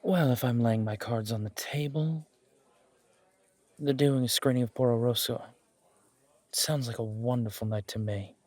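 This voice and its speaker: Mafia boss on a date, male actor: husky, dry close sound, intimidating reputation, playful and affectionate delivery, casual teasing, romantic audio drama. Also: medium pitch, a little slow, pauses often, a little mellow, dry recording, close to an everyday voice. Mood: relaxed, teasing. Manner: teasing, casual, mock-indignant, swearing.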